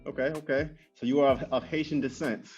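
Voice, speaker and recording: Fast-talking male interview host. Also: medium pitch. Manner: fast, animated, lots of fillers and repeats. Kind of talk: casual interview. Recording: dull lo-fi sound with faint music.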